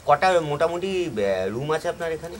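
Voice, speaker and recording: A man with a West Bengal Bengali accent hosts a casual travel vlog. His voice sits a little high. The recording is noisy location sound, with insects and outdoor ambience behind him. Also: expressive, moderate pace. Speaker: travel vlogger, host. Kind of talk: casual vlog chat and interviewing.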